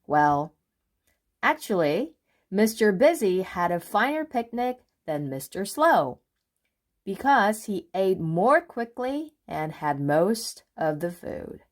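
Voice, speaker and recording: A woman reads a children's storybook aloud. Her pitch moves in very animated sweeps over an unhurried, measured pace, and she phrases things dramatically for young listeners. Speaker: children's book reader. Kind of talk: expressive storybook read-aloud.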